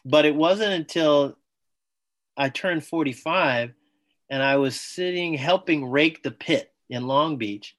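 A man, a former athlete, talking as a guest on an interview podcast, telling his story with enthusiasm in quick bursts. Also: fairly high pitch, fairly bright tone. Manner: enthusiastic, talks in bursts, acts out quoted dialogue.

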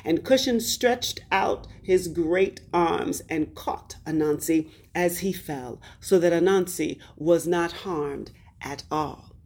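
A woman hosting as a storyteller, reading a folktale expressively and deliberately, with dramatic pauses and drawn-out words. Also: lowish voice, a little mellow, breathy edge. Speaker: host and storyteller.

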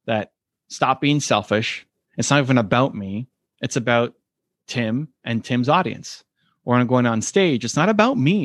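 A man's voice: a bit bright, dry recording. Earnest man, dry close sound. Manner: earnest, acts out quoted voices with rising pitch. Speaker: podcast guest. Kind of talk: reflective conversational interview answers.

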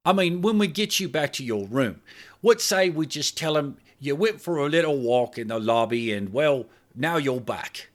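A man's voice: fairly high pitch, animated, moderate pace, pitched above the performer's own voice, close to an everyday voice. A man voicing a nervous, sheepish male hotel attendant, wheedling and hesitant. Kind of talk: audiobook character voice.

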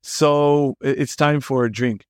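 A man's voice: mid-range voice, fairly even intonation, measured pace, clean tone, no room sound, studio quality. A man with a Dutch accent hosting a podcast, asking eager questions in casual interview chat. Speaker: podcast host.

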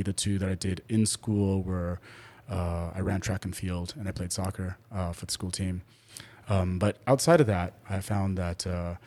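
A middle-aged man from Taiwan talks as a podcast guest, his voice sitting very low. He thinks aloud, pausing often with ums and uhs as he recalls his past. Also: darkish tone. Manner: thoughtful, many hesitations and fillers. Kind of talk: relaxed conversational interview.

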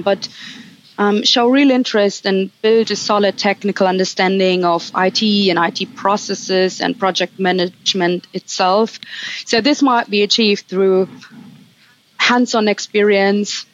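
A woman from Germany, a project manager, giving earnest, measured career advice as a podcast interview guest. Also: mid-range voice, dull audio. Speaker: project manager at a recruitment firm.

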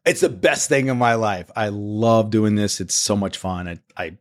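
A man's voice: lowish voice, moderate pace. A man co-hosting a podcast with his wife, talking casually with enthusiasm, rambling and laughing at himself. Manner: rambling, self-deprecating, enthusiastic. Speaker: podcast co-host, TV host, motivational speaker. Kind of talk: casual married-couple chat.

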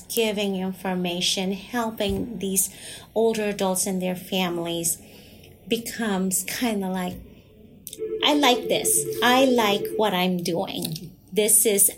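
A woman's voice: mid-range voice, a little hiss or hum, low-quality recording. A middle-aged woman giving conversational interview answers as a nonprofit executive.

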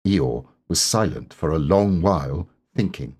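A man narrating a children's story as an expressive audiobook reader. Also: extremely low pitch, measured pace, slightly rough.

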